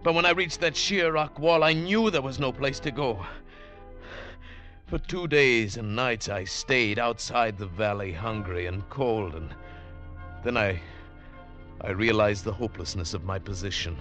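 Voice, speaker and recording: A man's voice: on the low side, unhurried, pushed and bright. An actor playing a desperate, hot-tempered trapped man, in a theatrical mid-century radio drama style. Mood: haunted narration. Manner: intense, theatrical. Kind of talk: 1940s-50s radio drama acting and narration. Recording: old radio broadcast with music underneath.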